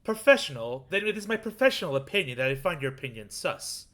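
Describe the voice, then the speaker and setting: A man's voice: on the high side, very animated, fast talker, fairly bright tone. A man voicing a proper, indignant prosecutor with a formal, dramatic delivery in a game fan dub. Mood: composed, wary. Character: proper, indignant male prosecutor accused of murder.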